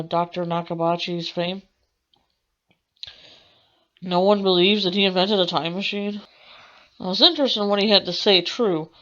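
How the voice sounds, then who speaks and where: A woman reading a visual novel aloud as a let's play, with a halting delivery that corrects itself. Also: fairly low pitch, a bit bright. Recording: dull lo-fi mic with music behind. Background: game music.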